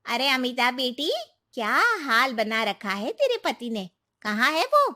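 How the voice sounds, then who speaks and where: A high-pitched neighbourhood auntie from a storytelling drama , played by a woman. She is chatty and concerned, always ready with local news. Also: sing-song, unhurried, sharp tone, heavily acted.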